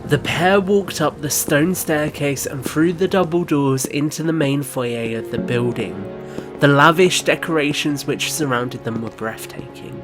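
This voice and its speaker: A man narrating a scripted audio drama in a measured, descriptive storytelling manner. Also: on the high side. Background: background music.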